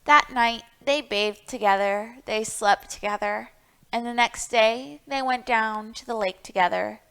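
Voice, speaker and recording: A woman's voice: a little high, measured pace, vocal fry, a bit bright, clear, resonant tone. A woman hosting a children's storytime, reading aloud and explaining things in an encouraging way, speaking directly to young listeners. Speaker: library storytime host.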